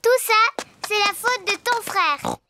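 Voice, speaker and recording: A woman's voice: extremely high pitch, animated, unhurried, very noisy, full character voice. A woman voicing a bossy little girl piglet in a dubbed cartoon, speaking in a childlike, blaming way. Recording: cartoon dub with loud music.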